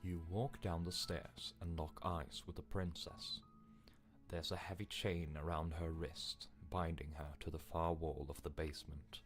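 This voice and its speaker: A grave, ominous narrator performed by a man for a story game. His very deep voice is measured and commanding, with a wary edge. Music is clearly heard behind him. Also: level tone.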